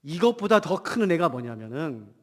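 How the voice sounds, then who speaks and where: A male pastor preaches in a big reverberant church. His voice sits high for a man and swings widely from emphatic declarations down to hushed asides. He has a cold and speaks in rhetorical questions to his congregation. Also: sing-song, moderate pace. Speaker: pastor preaching a Sunday sermon. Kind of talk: Protestant church sermon. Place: church hall during a service. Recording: long hall reverb in church.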